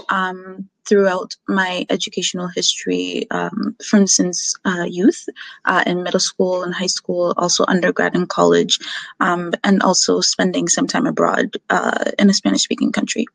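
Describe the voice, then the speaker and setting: Gravelly, halting woman in her twenties. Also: medium pitch, no echo, low-quality recording. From United States. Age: 20s. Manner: halting, measured, full of pauses. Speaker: language tutor. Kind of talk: self-introduction video.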